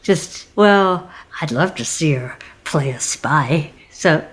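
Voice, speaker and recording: A flustered, polite American single mother in an audio comedy. Her voice sits a little low. She talks in halting, self-correcting bursts, awkward and naturalistic. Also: animated, slightly rough, heavily acted. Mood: practical, uneasy.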